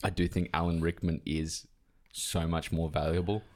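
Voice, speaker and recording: A man's voice: low voice, flat delivery, moderate pace. A man co-hosting a podcast, talking casually about films and searching for his words as he goes. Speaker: podcast co-host. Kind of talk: casual film discussion.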